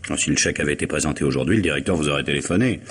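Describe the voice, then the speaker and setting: An actor playing an urbane, probing lawyer in a vintage French radio drama, speaking in a measured, formal, questioning manner. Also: male voice, extremely low pitch, breathy edge. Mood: wry, insistent. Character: urbane, probing male lawyer and family friend.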